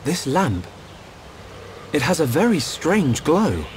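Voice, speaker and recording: A man's voice: fairly high pitch, wildly swooping pitch, slow, darkish tone, a little hiss or hum, full character voice. An actor playing an earnest, determined young hero in a video game cutscene, sincere and eager in his delivery. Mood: curious, keen.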